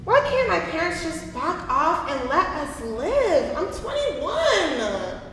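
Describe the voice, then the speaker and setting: Actress playing a restless twenty-one-year-old woman for stage drama; unhurried, animated delivery, long hall reverb. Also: extremely high pitch, exaggerated voice. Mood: exasperated. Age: young adult. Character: frustrated, yearning 21-year-old queer woman in 1966 Baltimore. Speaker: actor in a staged reading. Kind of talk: staged play reading. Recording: very echoey hall, noisy live stream.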